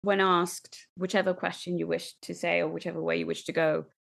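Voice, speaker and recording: A woman playing a tabletop roleplaying game, talking through ideas and scenes in measured, reflective phrases. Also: on the low side, little pitch movement, creaky, a bit bright. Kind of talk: thoughtful conversational game talk.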